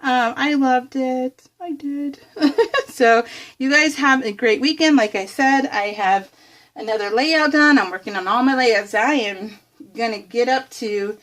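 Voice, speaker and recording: A woman's voice: sits high, measured pace, fairly bright tone. A woman chatting casually and bubbly as a craft vlogger, rambling along with a giggly manner. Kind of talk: casual chat while showing scrapbook layouts. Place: indoors, room at home.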